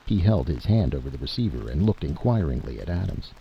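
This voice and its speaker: A man with a gravelly voice reading a dramatic scripted story as an audiobook narrator. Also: a bass voice, big pitch swings, fast talker, muffled recording, slight background noise.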